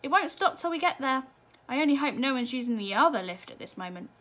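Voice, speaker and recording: A woman voicing an eccentric, gallant factory owner in a storybook, giving brisk, exclamatory orders and reassurances. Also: sits high, expressive, fairly bright tone. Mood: breezy, reassuring. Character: eccentric, gleeful, gallant chocolate factory man, briskly commanding.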